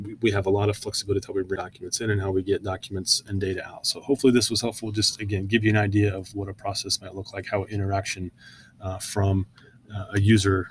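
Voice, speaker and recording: A man presenting software in an informal, conversational screen-share walkthrough, often hesitating with fillers as he explains each step. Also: lowish voice, slight background noise.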